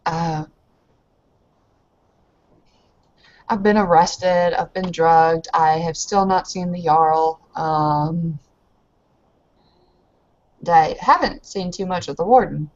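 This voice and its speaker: A woman's voice: on the low side, takes it slowly, darkish tone. A woman playing a candid, hesitant young newlywed bride who is frustrated, in improvised tabletop roleplay. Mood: frustrated, confused. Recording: dull lo-fi voice recording.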